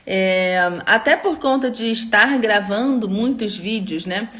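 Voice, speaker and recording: A young adult woman from Rio de Janeiro with a softened Carioca accent, explaining a teaching method in a live online class, often tagging sentences with little checks to her listeners. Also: mid-range voice, measured pace, a little hiss or hum. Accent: Carioca Brazilian Portuguese, softened. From Rio de Janeiro, Brazil. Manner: explanatory, frequent tag questions. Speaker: educator and course host.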